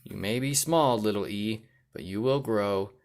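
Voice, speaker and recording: Male storyteller, low voice, reading a picture book to a young child with rhythmic, deliberate emphasis. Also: a little hiss or hum. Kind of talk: read-aloud children's storytelling.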